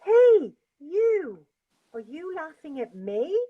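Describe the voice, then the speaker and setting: Proud hot-tempered spider trickster, indignant and loud, put on by a woman storyteller. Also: extremely high pitch, sing-song, slow pace, narrow, tinny audio, heavily acted. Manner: indignant, shouting.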